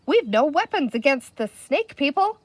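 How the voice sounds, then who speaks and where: Storybook creature chief, woman performer: small, wizened, cowardly, high frantic whimper, melodramatic swoops. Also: sing-song, moderate pace, no echo. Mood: despairing fear. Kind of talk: children's storybook character voice.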